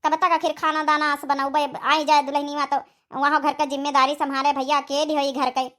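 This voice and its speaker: A woman's voice: high voice, little pitch movement, a little fast, fairly bright tone. A woman with an Awadhi accent voicing an ageing, impatient village mother who nags plainly in a cartoon story. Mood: nagging. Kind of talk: amateur cartoon story dialogue.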